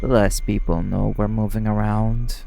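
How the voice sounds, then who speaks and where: A man's voice: fairly low pitch, level tone, moderate pace, vocal fry, mellow, soft background music. A man playing a cold, cynical, threatening vampire in an urban fantasy audio roleplay, speaking in a blunt, coolly dismissive manner. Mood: cold, analytical.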